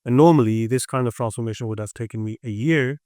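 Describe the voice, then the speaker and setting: Male, mid-pitched and clear, emphatic conversational expert, dry close sound. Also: fast, warm tone, dry recording. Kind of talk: animated industry discussion.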